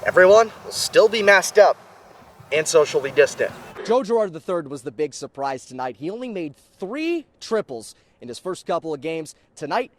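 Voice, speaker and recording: Young male sportscaster with a lively delivery. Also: a little high, moderate pace. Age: 20s. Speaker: sports reporter and play-by-play announcer. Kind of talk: sports broadcast narration and commentary.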